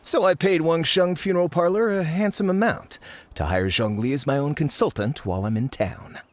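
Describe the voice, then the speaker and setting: An actor playing a scheming foreign archaeologist who speaks politely and persuasively, in a game cutscene. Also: male voice, on the high side, normal speed, slight background noise, close to an everyday voice. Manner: polite, persuasive, slyly eager. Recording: game audio with music.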